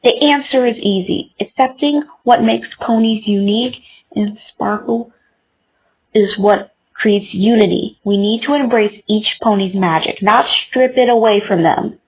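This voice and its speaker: A woman's voice: medium pitch, a little slow, slight background noise, close to an everyday voice, low-quality recording. A woman voicing an earnest, hopeful young mare who leads her friends, in a fan comic dub. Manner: earnest, rallying.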